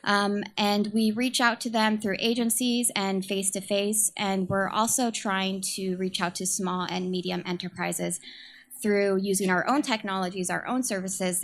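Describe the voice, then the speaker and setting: A young woman from San Francisco with a quiet voice, giving a nervous startup pitch as communications manager, hesitating with many ums and uhs. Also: vocal fry.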